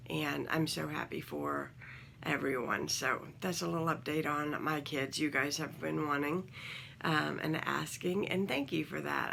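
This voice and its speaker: Croaky-voiced woman chatting at home. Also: deep voice, a little hiss or hum. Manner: warm, rambling, many ums. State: morning croaky voice. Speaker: channel host. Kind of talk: casual talk to camera.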